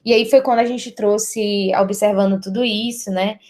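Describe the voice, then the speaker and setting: A woman's voice: mid-range voice, steady tone, normal speed, darkish tone. Young Brazilian woman presenting a project. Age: young adult. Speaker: university student presenter.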